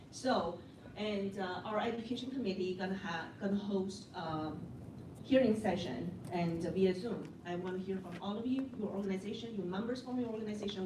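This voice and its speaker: A woman on an advisory board addressing a community meeting in an earnest, rallying way. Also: medium pitch. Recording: echoey room, distant mic, background noise.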